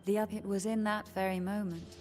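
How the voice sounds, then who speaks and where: A woman voicing a composed, guarded noblewoman matriarch in a video game, speaking softly in a measured, formal and cryptic way. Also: medium pitch, flat delivery, soft background music, close to an everyday voice. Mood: solemn, guarded.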